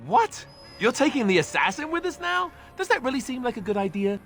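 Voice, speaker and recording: A man's voice: very high-pitched, big pitch swings, fairly quick, creaky, a bit bright, soft background music, heavily acted. A man playing an awkward, earnest young warrior in a video game, hesitant, self-deprecating and prone to babbling. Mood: wry disbelief.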